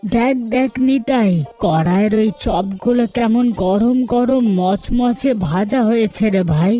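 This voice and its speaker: Woman as tired cautious cartoon village dad, soft mellow tone, plaintive, faint music behind. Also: a little high, measured pace, close to an everyday voice. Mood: pleased. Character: worn-down, cautious village father of modest means. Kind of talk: Bengali cartoon dialogue acting.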